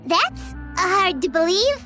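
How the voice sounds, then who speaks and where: A woman's voice: very high voice, very animated, slow pace, full character voice. A woman voicing a small childlike fairy companion in a video game, with a blunt, incredulous manner. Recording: music behind the voice.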